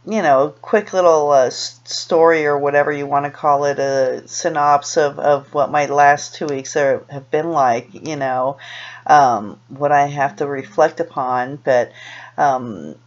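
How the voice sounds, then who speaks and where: A woman's voice: low-pitched, unhurried, highs cut off. A middle-aged American woman, a native Spanish speaker raised in Los Angeles, chatting casually and a little hesitantly to camera in a rambling way. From Los Angeles, USA, born in Peru. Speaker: video blogger. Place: small room indoors.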